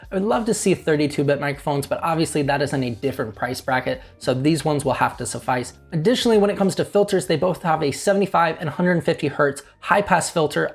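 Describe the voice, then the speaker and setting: Young male reviewer, fairly high voice, relaxed and talky, throws in playful asides. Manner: chatty, joking asides to viewers. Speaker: tech reviewer, channel host. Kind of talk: casual product review to camera.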